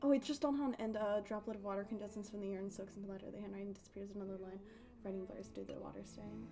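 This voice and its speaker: A woman's voice: mid-range voice, steady tone, racing, music in the background. A woman casually chatting as a livestream host, rambling playfully and often breaking off mid-thought. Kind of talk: casual gaming stream chat.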